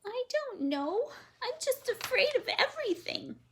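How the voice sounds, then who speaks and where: A woman's voice: extremely high pitch, sing-song, a little slow, slight background noise, voice pushed up, heavily acted. A woman voicing a timid, fearful ten-year-old girl who talks in a small, hesitant, whimpering way. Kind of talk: storybook read-aloud.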